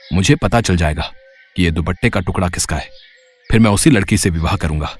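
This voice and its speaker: A man playing a deep-voiced earnest young prince. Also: a little fast. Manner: wondering, earnest.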